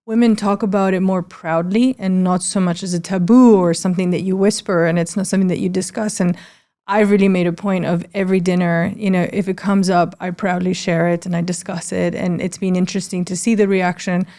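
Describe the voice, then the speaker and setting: A woman's voice: lowish voice, steady tone, a little fast, mellow. A woman from Spain speaking as an interview guest, candid, reflective and sincere. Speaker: interview guest, PR agency general manager.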